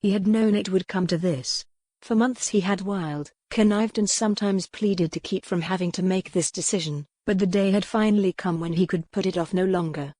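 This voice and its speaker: A woman's voice: medium pitch, fairly quick, mellow, no echo, studio quality. A woman reading historical fiction as a scripted, dramatic audiobook narration.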